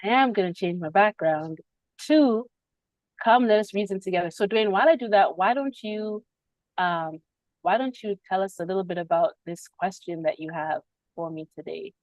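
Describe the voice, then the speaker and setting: A woman's voice: mid-range voice, moderate pace, a little mellow, no room sound, poor audio. A woman hosting a spiritual podcast on a video call, speaking reflectively and laughing often between thoughts. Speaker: podcast host.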